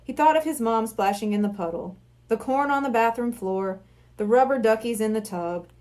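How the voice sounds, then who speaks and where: A woman's voice: fairly high pitch, moderate pace, darkish tone. A woman reading a children's storybook aloud as scripted narration. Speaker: library reader of a children's book.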